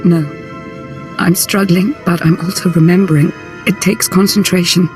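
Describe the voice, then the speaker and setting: An actress playing a tormented young Sith woman in a video game, intense, halting and struggling for control of her mind. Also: lowish voice, fairly even intonation, natural voice. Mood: strained, resolute. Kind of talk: dramatic video game voice acting. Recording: music behind the voice.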